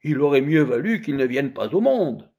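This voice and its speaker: Fretful attendant women scold and fret, their lines raised in pitch and swinging about, as a male reader voices them. A faint husk stays in the voice. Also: sing-song, normal speed, vocal fry, mellow, husky, heavily acted. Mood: mock-pitying. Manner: anxious, reproachful. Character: two gossiping attendant women, fearful.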